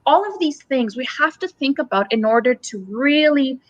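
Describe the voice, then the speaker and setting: A woman's voice: sits high, animated, normal speed, dry recording, lo-fi sound. Earnest woman explaining in runs of points. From Romania. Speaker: guest, communications company CEO. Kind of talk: live-stream interview.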